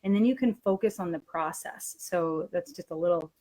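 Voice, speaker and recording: Lower-pitched woman presenting a casual webinar. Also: moderate pace, a crowd in the background, poor audio. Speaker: language teaching webinar presenter.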